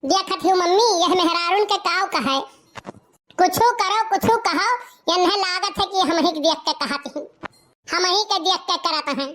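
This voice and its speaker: A mischievous Awadhi village lad in a cartoon comedy. His voice is extremely high and squeaky, like a child's. He protests and wisecracks in a whiny, defiant, argumentative way. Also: measured pace, bright, forceful tone, a little hiss or hum, exaggerated voice. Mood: defiant complaining. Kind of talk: comic cartoon dialogue.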